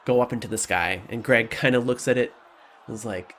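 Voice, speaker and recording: A man improvising tabletop game narration as game master, informal and halting in delivery. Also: medium pitch, fairly bright tone.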